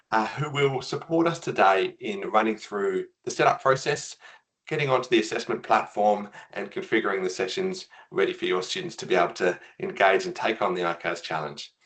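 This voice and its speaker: An Australian man with a fairly low voice opens a webinar as its host. He talks in an upbeat way, leans on "so, look" as he moves along, and sounds scripted. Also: fairly bright tone, low-quality recording. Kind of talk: scripted-feeling webinar introduction.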